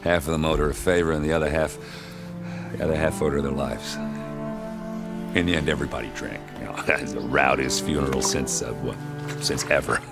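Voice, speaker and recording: Very deep, gruff warrior captain. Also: male voice, fairly even intonation, fairly quick, over music. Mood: wistful, fond. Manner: laid-back, wry, hesitant starts. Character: gruff, world-weary warrior captain with wry humour. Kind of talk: video game character acting.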